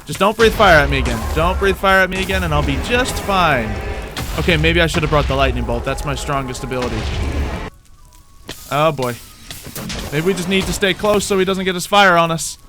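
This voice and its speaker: A man commentating on his own video game play, thinking aloud in an animated way with playful exclamations. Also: a little high, brisk. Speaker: gaming YouTuber playing a Minecraft roleplay series. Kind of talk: gameplay commentary. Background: background music.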